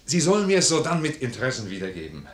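An actor playing a loyal, blunt, warm-hearted army sergeant in a classical radio play, plain-spoken, earnest and insistent. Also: male voice, medium pitch, wildly swooping pitch, quick pace, reverberant. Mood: earnest pleading. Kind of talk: German classical stage comedy as radio play.

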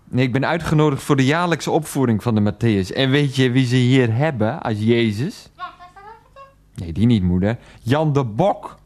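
Male actor doing son on the phone to his mum, exasperated but placating, halting, voice jumps all over, radio play style. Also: medium pitch, expressive, a little mellow, close to an everyday voice. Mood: chatty, dutiful. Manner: halting, repetitive, protesting, placating. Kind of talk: 1990s Dutch radio play, one-sided phone call.

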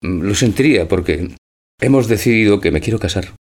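An actor playing a timid, bewildered, bookish old bachelor in a theatrical audio drama, speaking in hesitant questions. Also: male voice, fairly low pitch, animated, brisk. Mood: nervous, awkward.

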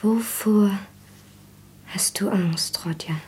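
An actress playing a gentle, frightened young woman in a German radio drama, speaking in a breathy half-whisper. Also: medium pitch, slow pace, slight background noise, natural voice. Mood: anxious, hushed.

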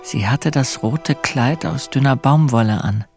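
Radio play lead: actor as pensive married bookseller, creaky medium-pitched voice, measured inner monologue. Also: male voice, darkish tone, faint music behind, lightly acted. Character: brooding, forbearing married bookseller dwelling on his wife.

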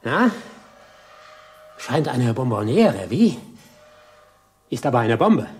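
An actor in a German radio play playing a shattered, fanatical military airman who speaks haltingly and theatrically, mocking and commanding. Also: medium pitch, very animated, faint music behind.

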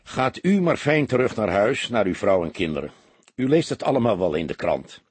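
A man voicing a weary, dutiful veteran police detective with a dry, deadpan and pensive manner, in an audiobook. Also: fairly low pitch, normal speed, breathy edge, no room sound. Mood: calm, kindly.

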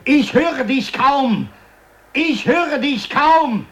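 A man's voice: very high-pitched, lively intonation, extremely slow, exaggerated voice. A man playing an insistent radio contact straining to hear, in a radio drama over a noisy link.

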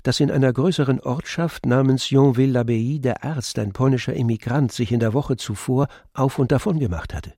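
A man narrating a literary radio play in a measured, composed storytelling manner. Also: medium pitch, mellow, no room sound, studio quality.

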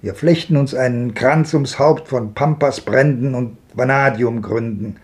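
An actor playing a world-weary old wanderer in a 1950s German radio drama, reminiscing in a lyrical, declamatory way. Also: male voice, mid-range voice, unhurried, lightly acted. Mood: grave, reminiscing. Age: older. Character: world-weary old wanderer looking back on a hard life.

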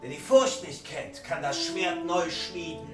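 An actor performs a mysterious prophetic voice in a fantasy radio drama. The delivery is slow and incantatory, set far back in an echoing space. Music runs clearly underneath. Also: medium pitch, natural voice. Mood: ominous. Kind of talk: fantasy radio play acting. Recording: echoey, distant voice over music.

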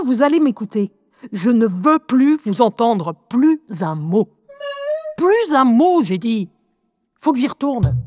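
A wry, expressive schoolgirl tells her own story in an audio drama. The voice is medium-pitched and confiding, recorded very dry and close. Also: no room sound. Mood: exasperated, bossy. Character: lively eleven-year-old schoolgirl recounting life with inner voices. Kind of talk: first-person audio drama narration.

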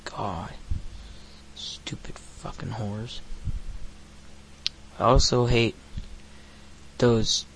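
A man's voice: on the low side, steady tone, drawn-out words, long pauses, fairly bright tone, slight background noise, rough recording. A thirteen-year-old boy hosting his own podcast, rambling crudely with lots of fillers and swearing. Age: teens. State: recovering from hoarseness. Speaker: podcast host. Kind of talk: casual solo podcast rant.

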